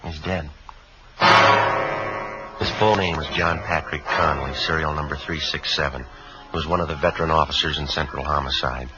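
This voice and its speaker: An actor playing a stoic, weary police detective in a 1950s radio drama, speaking tersely and matter-of-factly. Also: male voice, moderate pace, pauses often, loud music behind the voice. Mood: matter-of-fact, grave. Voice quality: low-voiced. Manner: terse, flat, matter-of-fact delivery. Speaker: lead actor and narrator. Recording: dull vintage 1950s radio recording.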